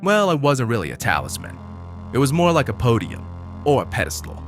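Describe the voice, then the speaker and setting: A man's voice: medium pitch, huge pitch swings, brisk, faint music behind. An actor playing a jaded male prisoner in an audio drama, blunt and dismissive, with a streetwise way of talking. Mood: explaining.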